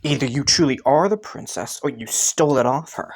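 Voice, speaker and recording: A man's voice: mid-range voice, brisk, creaky, darkish tone. A man playing a haughty, teasing young crown prince in a romantic fantasy audio roleplay, suspicious yet intrigued, with a smug manner. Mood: surprised, probing. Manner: teasing, smug, chuckling and sighing between phrases.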